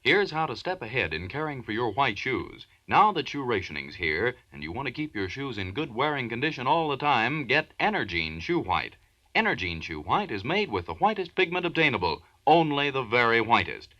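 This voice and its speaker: A man's voice: medium pitch, big pitch swings, moderate pace, bright, forceful tone, no echo. An American man reading a 1940s radio advertisement in an emphatic selling manner. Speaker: commercial announcer. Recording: dull 1940s radio recording.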